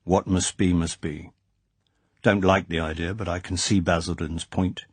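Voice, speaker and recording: A man's voice: low-pitched, fairly even intonation, moderate pace, breathy edge, no room sound. A man voicing a reserved older intelligence chief who speaks in clipped, dry phrases. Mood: wry. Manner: terse, clipped understatement.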